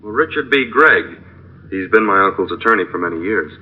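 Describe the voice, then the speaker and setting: An actor playing a curt, self-assured young heir in an old radio mystery, speaking formally. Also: male voice, fairly low pitch, animated, a little fast. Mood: defensive. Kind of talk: 1950s radio detective drama acting. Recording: muffled old radio recording.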